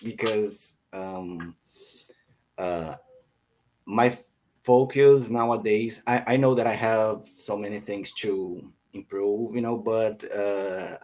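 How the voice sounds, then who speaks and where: A man from Brazil, a native Portuguese speaker, practicing English with a tutor over a video call, pausing often to find his words. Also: fairly low pitch, fairly even intonation, darkish tone, rough recording. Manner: hesitant, searching for words, many "um"s. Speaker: English student. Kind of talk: casual conversation lesson.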